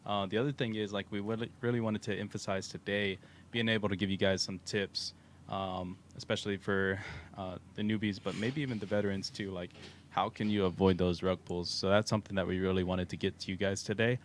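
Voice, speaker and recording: A man with a Texan accent co-hosting a livestream, talking in a relaxed, rambling way with many fillers. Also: low-pitched, fairly quick. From Texas. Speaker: co-host of a crypto livestream. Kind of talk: casual livestream chat. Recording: faint background noise.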